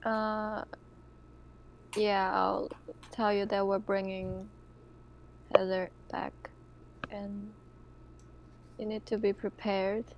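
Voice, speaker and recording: Background noise sits under a woman's slow, flat voice. She is a tabletop roleplaying player, speaking her character's part hesitantly. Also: medium pitch, highs cut off. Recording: noticeable background noise on mic.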